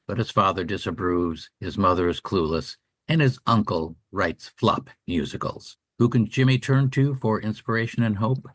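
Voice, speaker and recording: A man with a fairly low voice reads calendar listings as a radio co-host. His pitch moves up and down in a very lively way as he delivers the scripted announcements.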